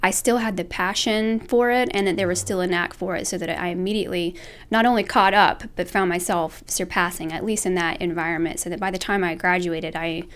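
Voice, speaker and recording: A woman's voice: fairly low pitch, steady tone, a little fast, a bit bright. Earnest, reflective young woman. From Alabama. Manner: earnest, reflective, self-correcting. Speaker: guest artist. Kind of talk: casual interview chat.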